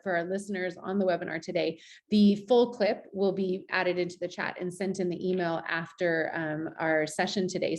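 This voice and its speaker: A woman's voice: fairly low pitch, a little mellow. A woman hosting a webinar over a video call, upbeat and gracious as she introduces speakers. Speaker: webinar host. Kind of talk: moderating a webinar.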